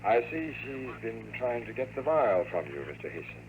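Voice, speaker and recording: An actor playing a scheming, power-hungry time traveler in 1950s radio drama, speaking with precise, menacing politeness. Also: male voice, lowish voice, very animated, normal speed, narrow, tinny audio. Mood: smoothly formal. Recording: noisy old radio drama recording.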